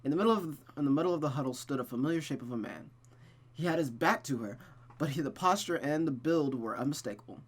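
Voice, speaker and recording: A man's voice: fairly high pitch, fast talker. A man reading a visual novel aloud with casual, slangy commentary and muttered asides. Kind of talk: casual playthrough with read-aloud narration and commentary.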